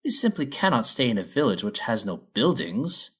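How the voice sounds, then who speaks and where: A man's voice: mid-range voice, big pitch swings, normal speed, pushed and bright, husky, exaggerated voice. A man voicing a haughty unicorn princess in a storybook, speaking in a prim, formal, sniffy manner.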